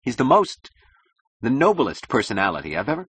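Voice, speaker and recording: A man reading a novel as an earnest young male architect who flatters and is easily offended. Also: sits low, fast talker, breathy edge, no echo, exaggerated voice. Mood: indignant. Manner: flattering, earnest, indignant when rebuffed.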